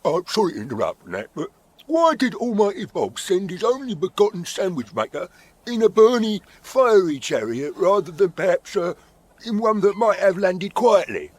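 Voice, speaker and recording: An actor playing a hesitant, doubting villager in a comic radio drama, stammering and apologetic. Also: male voice, high-pitched, very animated, moderate pace. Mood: timid doubt. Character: hesitant, doubting male villager questioning his priest.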